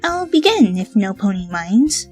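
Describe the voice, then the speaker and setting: A woman's voice: mid-range voice, big pitch swings, unhurried, darkish tone, big-room sound, faint music behind. A woman voicing an earnest, bookish young female cartoon pony with a prim, lecturing manner.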